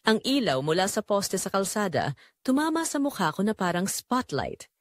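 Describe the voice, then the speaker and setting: Actress as sorrowful, long-suffering Filipina mum narrating a romance audio drama; confiding, very expressive melody, dry studio sound. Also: female voice, medium pitch, moderate pace, no room sound, close to an everyday voice. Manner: wistful, emotive, addressing listeners directly. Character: weary, neglected middle-aged Filipina mother, quietly sorrowful. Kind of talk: first-person dramatic confessional storytelling.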